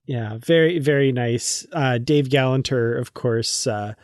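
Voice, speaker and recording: A man's voice: medium pitch, measured pace, darkish tone, dry recording. An enthusiastic man with a mid-range voice co-hosts a podcast. His talk is casual and appreciative, and the recording is dry. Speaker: podcast host. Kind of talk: casual chat.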